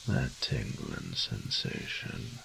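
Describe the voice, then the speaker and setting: A man playing a flirtatious, doting roommate and lover in an intimate ASMR roleplay, with a teasing, folksy drawl and breathy, whispery moments. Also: slow pace, pushed and bright, a little hiss or hum, low-quality recording. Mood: teasing, tender. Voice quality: very deep, gravelly, breathy whispers.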